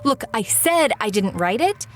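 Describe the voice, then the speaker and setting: An excitable woman writer in an audio drama, high-voiced and unhurried, with music behind her. She mutters and hesitates in exasperation, and her pitch swings widely. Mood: agitated. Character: frazzled, excitable woman radio-script writer hunting for ideas.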